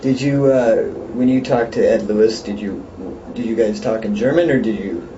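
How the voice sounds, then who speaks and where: A man's voice: mid-range voice, moderate pace. A man from California, interviewing in an easygoing way with short questions. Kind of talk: casual interview chat. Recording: distant, roomy, dull lo-fi with faint hiss.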